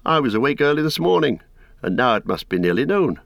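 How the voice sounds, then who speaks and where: A gentle, good-humoured young hobbit talks lightly and quickly, as a man reading aloud puts him on. He sits a little above the reader's own pitch. The sound is dry and close. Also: very animated, vocal fry, no echo. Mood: teasing. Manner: light, teasing.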